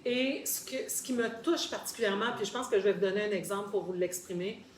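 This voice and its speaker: A woman's voice: medium pitch, quick pace. A woman with a Québécois French accent tells of her experiences conversationally, articulate and explanatory, repeating words for emphasis. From Quebec, Canada. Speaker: guest sharing personal experience. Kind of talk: conversational storytelling in a group discussion. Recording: roomy echo, faint background noise.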